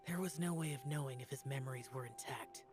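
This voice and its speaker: An actor playing a stoic, ancient demon warrior guardian who speaks in a solemn, measured way. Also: male voice, medium pitch, natural voice. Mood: grim. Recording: game cutscene with soft music.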